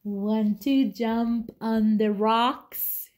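A young woman voicing friendly storybook farm animals, with drawn-out animal calls and playful questions. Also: fairly high pitch, fairly even intonation, darkish tone. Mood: playful, inviting. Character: friendly farmyard animals calling out and inviting play.

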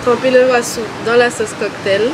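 A woman, co-hosting a food vlog. Her talk is casual, expressive and emphatic, with clear noise humming behind her. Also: on the high side, moderate pace. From Guadeloupe. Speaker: food vlogger, co-host.

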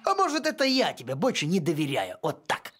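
An actor voicing a touchy, good-natured, simple-hearted male dog in an animated series, talking in a huffy, colloquial way. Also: medium pitch, a little fast, soft background music. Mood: defensive.

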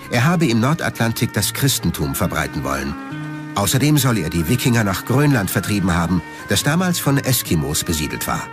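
Low, lively male documentary narrator over music.

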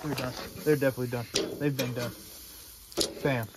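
A man's voice: mid-range voice, fairly even intonation, normal speed. A man in his twenties hosting a casual cooking vlog, talking in a slangy, jokey way and repeating his phrases. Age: 20s. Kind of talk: casual chat to camera while cooking. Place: mostly outdoors in a backyard by a grill. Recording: noisy outdoor phone-camera audio.

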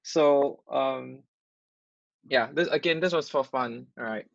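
A man hosting a live online workshop over a video call, talking haltingly with many restarts. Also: mid-range voice, gravelly, dry recording. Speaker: workshop host. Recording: dull lo-fi call audio.

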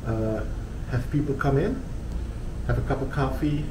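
A middle-aged man from Belgium, a native Dutch speaker, chatting about his cafe in an interview with many pauses and fillers. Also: mid-range voice. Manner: relaxed, halting with many fillers. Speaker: cafe owner. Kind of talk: casual interview. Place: small cafe under renovation, indoors. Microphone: lapel mic. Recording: echoey room with background noise.

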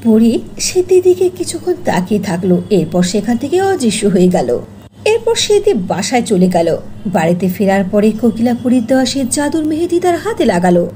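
A woman's voice: a little high, big pitch swings, brisk, dark tone. A woman narrating a scripted fairy tale as a storyteller. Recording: faint noise under voice-over.

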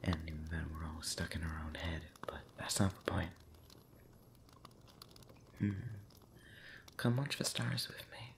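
A man speaking softly and breathily, often whispering, in an intimate ASMR roleplay monologue, rambling hesitantly with long pauses. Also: very deep voice, creaky, slight background noise. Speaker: audio roleplay voice actor.

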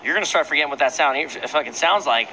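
A young man playing a game with a friend, blunt and sweary, calling out enemies in quick bursts. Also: a little high, animated, background noise. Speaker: teammate. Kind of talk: casual gameplay chat. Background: music playing.

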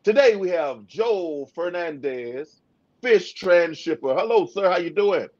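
A man in his forties hosting a casual livestream from a room full of aquariums, talking in a relaxed, drawling way. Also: sits high, a little slow. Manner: laid-back, drawling, shout-outs to viewers. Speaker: livestream host. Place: home fish room with aquariums. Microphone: webcam video call. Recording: slightly dull video call audio.

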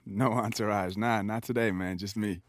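A man's voice: on the low side, fairly even intonation, moderate pace, no room sound, clean studio sound, natural voice. A man playing an easygoing, driven young pro basketball player in a video game, with an American accent and casual slangy talk. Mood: eager. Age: young adult. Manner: casual, slangy, laid-back. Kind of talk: naturalistic video game cutscene acting.

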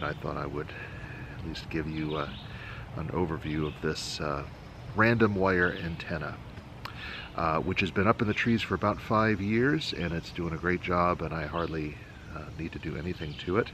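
Middle-aged male vlog host, low-pitched, casual and halting explainer, outdoor recording with noticeable background noise. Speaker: channel host. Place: outdoors in a leafy backyard.

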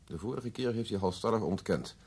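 A deep, husky police investigator in a radio play. He is calm and sticks to the facts. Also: a little fast. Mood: matter-of-fact. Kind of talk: radio drama acting.

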